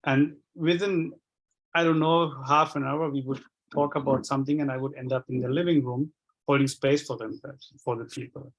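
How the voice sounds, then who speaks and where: Halting man from Sri Lanka, Tamil native. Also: mid-range voice, fairly even intonation, lo-fi sound. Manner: halting, many restarts and repeated words. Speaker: energy work practitioner. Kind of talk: reflective casual sharing.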